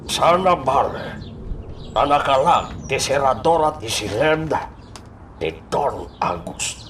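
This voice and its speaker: A man with an Ilocano Filipino accent acting a guarded, fatherly crime boss in a radio drama, with halting, drawn-out delivery. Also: medium pitch, animated, fairly bright tone, a little hiss or hum. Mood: commanding.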